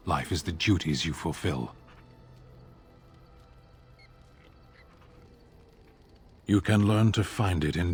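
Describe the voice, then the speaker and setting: A man performs a stern, philosophical warrior from a fantasy video game. His voice is low, and his delivery is blunt, deliberate and matter-of-fact. Music sits faintly behind him. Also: natural voice. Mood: firm, stern. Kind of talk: scripted fantasy game dialogue.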